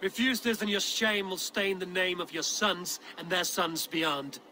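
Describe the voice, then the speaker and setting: An actor playing a bold, threatening Viking warrior in a video game, with a blunt and commanding delivery. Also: male voice, sits high, unhurried, bright, forceful tone, a little hiss or hum, heavily acted. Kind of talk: dramatic video game acting.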